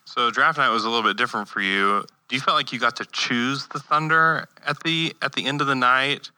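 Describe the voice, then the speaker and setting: A man hosting a sports podcast, asking questions in a relaxed, easygoing way and nodding along with short replies. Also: medium pitch, brisk, clear, resonant tone, no echo, low-quality recording. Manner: easygoing, asks with short affirmations. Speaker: podcast host. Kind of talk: casual sports interview.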